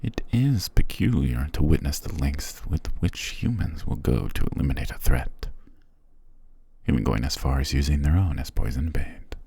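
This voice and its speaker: A man playing an ancient, imperious and possessive vampire patriarch in a fantasy ASMR roleplay, speaking in a formal, commanding, measured way. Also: lively intonation, mellow, no room sound, full character voice. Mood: cold contempt. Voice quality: very deep, gravelly, breathy.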